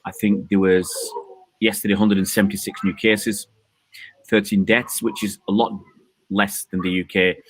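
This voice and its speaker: A male host with a fairly low voice chats loosely, rambling and teasing with plenty of ums. The sound is dry and close, with little room around it. He speaks from a balcony outdoors. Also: flat delivery, normal speed, no echo, rough recording. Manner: chatty, jokey, rambling with many fillers. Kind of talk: casual chat.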